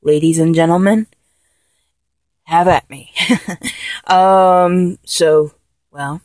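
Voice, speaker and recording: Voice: medium pitch, no room sound. A performer voicing a man who has just been transformed from a woman, rambling and stammering with frequent giggles. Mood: cocky. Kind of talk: solo audio roleplay.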